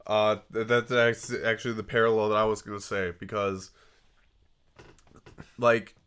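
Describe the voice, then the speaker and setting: A man co-hosting a relaxed podcast while fighting a cold. His voice comes out stuffy and nasal, and he talks in a loose, hesitant way, often breaking off and starting his sentence again. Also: lowish voice, moderate pace, smooth. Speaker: podcast co-host. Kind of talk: casual chat.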